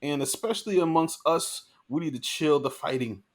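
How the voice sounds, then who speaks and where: A man's voice: a little high, normal speed. A man co-hosting a podcast, talking earnestly in a casual discussion, often restarting and adding "you know". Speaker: podcast co-host.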